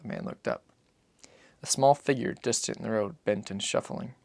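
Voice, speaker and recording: A man giving a dramatic reading of literary fiction, solemn and measured in his phrasing. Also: on the low side, lively intonation, gravelly, no room sound. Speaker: audiobook narrator.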